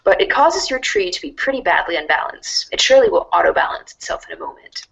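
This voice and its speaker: A woman's voice: sits high, big pitch swings, fast, vocal fry, fairly bright tone, low-quality recording. A woman narrating a scripted read-along storybook in a wry, theatrical manner.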